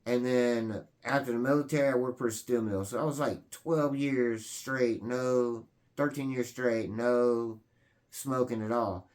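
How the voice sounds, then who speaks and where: A man's voice: mid-range voice, fairly even intonation, unhurried, darkish tone. A man in his late forties hosting his own video channel, talking casually and earnestly, rambling with many fillers and restarts. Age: 40s. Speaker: channel host. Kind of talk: casual vlog talk.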